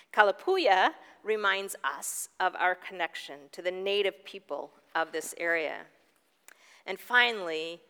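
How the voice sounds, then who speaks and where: A woman pastor preaches at an unhurried pace, her voice bright and smooth. Long reverb trails each phrase as it rings through the sanctuary. She sounds measured and deliberate, with the occasional stumble. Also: medium pitch. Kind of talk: sermon.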